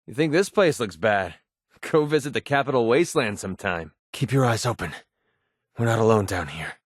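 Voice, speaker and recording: Sardonic young mercenary, dry studio sound. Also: male voice, on the low side, expressive, moderate pace, no echo. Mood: dry, watchful. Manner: sardonic, grumbling, offhand. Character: cynical, wisecracking young mercenary sniper in a wasteland. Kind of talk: video game companion dialogue.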